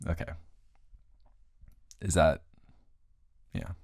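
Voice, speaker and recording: A man playing a grumpy, tired young male roommate who is secretly smitten, in a halting, exasperated, intimate improvised audio roleplay. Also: very animated, a little mellow, dry recording, full character voice. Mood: awkward, confessing. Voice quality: very deep, gravelly, close and dry.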